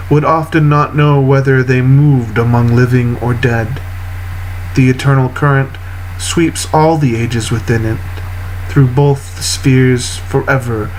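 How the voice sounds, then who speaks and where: A man reading poetry aloud in a solemn, literary style, with deliberate, measured phrasing and frequent pauses. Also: medium pitch, a little hiss or hum, rough recording. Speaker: poetry reader.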